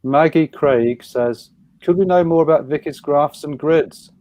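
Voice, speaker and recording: A man's voice: on the high side, measured pace, darkish tone, dry recording. A man moderating a casual panel, putting questions in a conversational, slightly hesitant way. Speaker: panel host.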